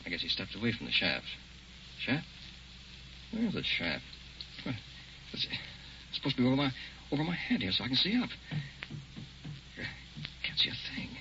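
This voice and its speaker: A man's voice: mid-range voice, wildly swooping pitch, normal speed, pauses often, sharp tone, full character voice. An actor playing a terrified, anguished man trapped in a coffin in an old-time radio drama. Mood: fearful muttering. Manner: anguished, muttering to himself then crying out. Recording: dull, noisy old radio recording.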